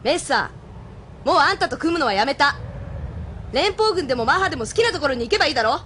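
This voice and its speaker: An actress playing a tough, frank young female mech pilot and former delinquent with rough, blunt speech in an audio drama. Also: high voice, sing-song, fast talker, a bit bright, a little hiss or hum. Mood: fed up. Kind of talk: Japanese audio drama acting. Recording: music behind the voice.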